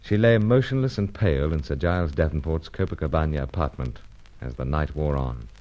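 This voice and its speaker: An actor playing an earnest, gentlemanly secret agent in a radio adventure serial, speaking in a clipped, polite, theatrical manner. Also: male voice, a bass voice, fairly quick. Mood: suspenseful narrating. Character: earnest gentlemanly British secret agent, adult man, narrating his adventures. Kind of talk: old-time radio adventure serial acting. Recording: dull, lo-fi radio serial sound.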